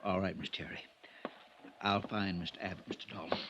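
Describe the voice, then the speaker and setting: An actor playing a meek, anxious farm servant in a vintage radio drama, folksy and deferential. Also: male voice, deep voice, level tone, fairly quick. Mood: meek, reluctant. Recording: dull, noisy old radio recording.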